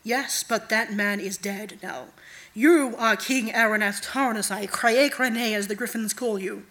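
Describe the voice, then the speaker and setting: Woman voicing an imperious, deliberate night goddess. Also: on the high side, a bit bright. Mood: calm, grave. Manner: deliberate, commanding. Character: imperious female night god, cold, commanding, manipulative.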